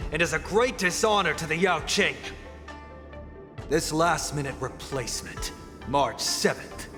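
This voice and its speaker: A proud veteran knight, stern. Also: male voice, fairly high pitch, a bit bright. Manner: formal, stern and challenging. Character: proud veteran male knight, centuries-old swordsman. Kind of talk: game cutscene dialogue. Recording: reverberant mix with game music.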